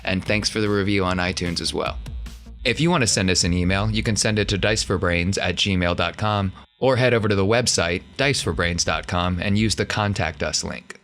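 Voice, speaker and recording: A man with a fairly low voice hosts a tabletop gaming show. He runs things as game master and likes to tease and joke with his players. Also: moderate pace, fairly bright tone, soft background music. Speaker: podcast host and game master. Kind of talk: hosting an actual-play tabletop podcast.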